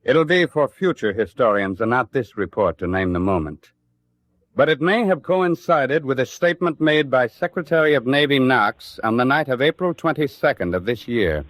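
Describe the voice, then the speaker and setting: An actor playing a sober, formal reporter narrating a wartime radio documentary drama. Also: male voice, medium pitch, animated, normal speed, fairly bright tone, dry recording, close to an everyday voice. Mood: sober, dramatic. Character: sober male reporter narrating the Atlantic war.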